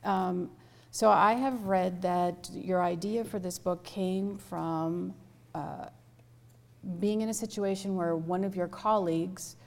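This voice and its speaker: A woman with a low voice interviewing an author in front of an audience, chatty and hesitant with many fillers. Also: fairly even intonation, slow, creaky, darkish tone. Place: event space with an audience.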